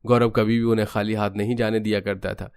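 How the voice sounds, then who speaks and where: A man's voice: fairly low pitch, level tone, quick pace, a little mellow, smooth. A man narrating a scripted cartoon family story as a storyteller.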